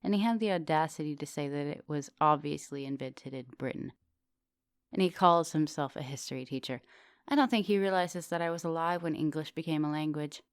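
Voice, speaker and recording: A woman playing a centuries-old vampire English professor in an intimate ASMR roleplay, soft and dominant, doting and teasing, sometimes slipping into breathy whispers. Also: sits low, fairly quick, no echo. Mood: proud, lecturing.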